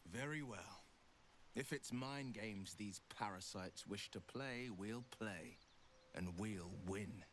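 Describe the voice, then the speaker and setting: A man playing a resolute, gallant young adventurer in a video game, speaking in an earnest, measured way. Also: lowish voice, a bit bright, a little hiss or hum. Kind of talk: video game dialogue acting.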